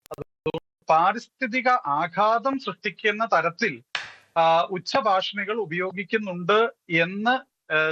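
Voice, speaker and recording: A man speaking as a priest on a debate panel, explaining in a formal, deliberate, emphatic way. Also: a little high, bright, forceful tone, no room sound, highs cut off.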